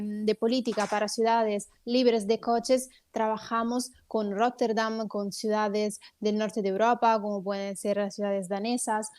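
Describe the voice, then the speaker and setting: A woman with an Italian accent, a native Italian speaker answering interview questions as a guest politician, explaining things at length with frequent hesitant fillers. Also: medium pitch.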